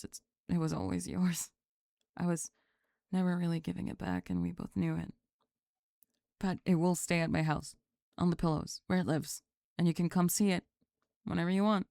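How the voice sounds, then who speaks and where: A woman voicing a sulky young goth bully who is flustered and secretly lovestruck, halting and sighing in an ASMR roleplay. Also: lowish voice, gravelly, warm tone, no echo, clean studio sound, pitched below the performer's own voice. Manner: halting, sighing, grudgingly tender and defensive. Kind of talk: ASMR roleplay drama, spoken to the listener.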